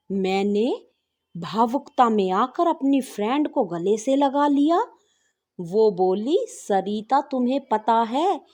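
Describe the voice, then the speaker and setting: Slow, lively woman telling a story. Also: on the high side. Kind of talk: first-person bedtime story narration.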